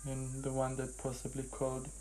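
A man facilitating a hypnotic healing session, giving gentle instructions and asking questions. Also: mid-range voice, completely flat delivery, normal speed, slight background noise, rough recording.

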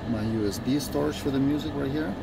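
A man's voice: mid-range voice, creaky, heavy background noise. Russian-accented man in a parked car. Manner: enthusiastic, halting with many fillers. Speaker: car reviewer. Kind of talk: casual car walkaround vlog. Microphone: phone camera at arm's length.

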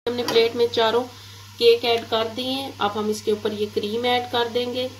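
A woman's voice: a little high, little pitch movement, normal speed, poor audio. A woman with a Pakistani Urdu accent, hosting a home cooking channel and talking viewers through a recipe step by step. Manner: step-by-step, addresses viewers directly. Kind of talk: home cooking tutorial voice-over. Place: home kitchen. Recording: hissy home recording.

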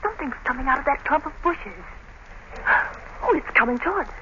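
A woman's voice: high-pitched, big pitch swings, brisk. A woman playing an anxious, loyal lady companion, earnest and dramatic, in 1930s radio drama style. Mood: tense, uneasy. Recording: muffled, very noisy 1930s radio recording.

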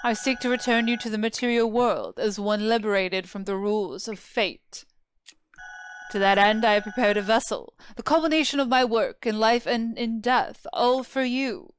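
A woman's voice: fairly high pitch, fairly even intonation, moderate pace, a little hiss or hum, lightly acted. A woman playing a proud, obsessive dead homunculus researcher in a video game, with a formal, theatrical, lecturing manner. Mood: solemn, devoted. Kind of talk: scripted video game voice acting. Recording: music behind the voice.

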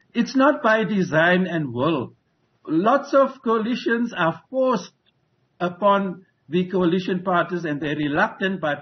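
An older South African analyst speaks in a high voice, assertive and emphatic, tripping over restarts as he argues. The sound is muffled and dull, coming through a video call from a room at home. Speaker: political analyst. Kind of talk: live news analysis. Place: home room with wooden ceiling.

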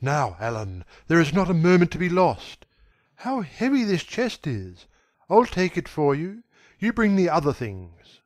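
A man voicing a young gentleman who speaks rapidly and with authority, at times pleading. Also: a little high, sing-song, dry recording, pitched above the performer's own voice. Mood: brisk, commanding. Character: young gentleman, commanding yet anguished.

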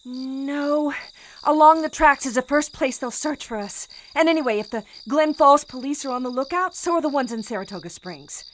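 An actress playing a determined, bossy bank teller on the run in a period suspense audio drama, urgent and taking charge. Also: female voice, high voice, normal speed, a bit bright. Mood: resolute.

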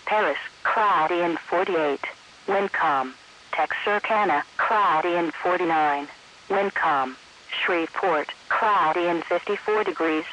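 A synthetic man's voice reading an automated weather report in measured, clipped phrases. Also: high voice, sharp tone, low-quality recording. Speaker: weather radio announcer. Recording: hissy weather radio broadcast. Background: faint radio hiss.

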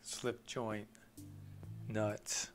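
A man's voice: on the low side, little pitch movement, very slow, soft background music. A man, a plumbing contractor, walks through his tools off camera, talking plainly and unhurriedly with many "uh" fillers. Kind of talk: off-camera tool walkthrough.

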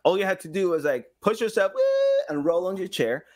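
A man in his thirties talks casually as a guest on a podcast. His pitch swings widely as he tells stories, and he slips into acting out short quotes. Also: fairly quick. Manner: animated, acting out little quotes. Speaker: podcast guest, game studio engagement director and sound designer. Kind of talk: casual conversational storytelling. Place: small home room with guitars.

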